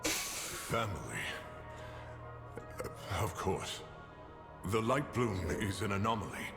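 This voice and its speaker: A man's voice: fairly low pitch, big pitch swings, takes it slowly, exaggerated voice. A man playing a proud, stern human paladin commander in a video game, lofty and admonishing in manner. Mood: restrained. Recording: echoey hall with loud music.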